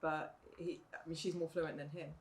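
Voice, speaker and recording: A middle-aged woman, a mother talking reflectively in a casual podcast chat, often pausing with "um" and "you know". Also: sits low, level tone. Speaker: guest, mother of the other guest. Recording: faint background noise.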